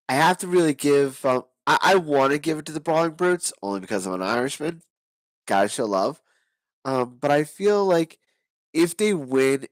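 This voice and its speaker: A hoarse man giving casual, rambling wrestling predictions on his own channel, with many restarts and fillers. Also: mid-range voice, dry recording, low-quality recording. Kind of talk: casual solo predictions talk.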